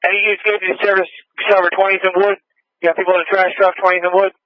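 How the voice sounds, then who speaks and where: A man's voice: high voice, level tone, fast, no room sound. A man dispatching on a police radio channel, clipped and repeating locations, with a Philadelphia accent. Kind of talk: police scanner radio traffic. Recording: muffled scanner radio audio.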